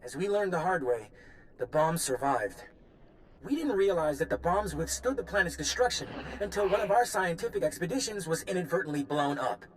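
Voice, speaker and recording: A frazzled military bureaucrat played by a male actor in a sci-fi game. His voice is high and very animated, earnest and harried, with faint noise behind it. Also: fairly quick, close to an everyday voice, poor audio. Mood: frustrated, anxious. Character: frazzled, self-pitying male Republic official, anxious and pleading. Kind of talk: video game character acting.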